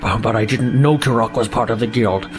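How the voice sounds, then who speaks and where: A man's voice: on the low side, a little fast, soft background music, close to an everyday voice. A man playing a flustered, weaselly alien trader who stammers and blusters in a sci-fi audio drama. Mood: flustered, evasive.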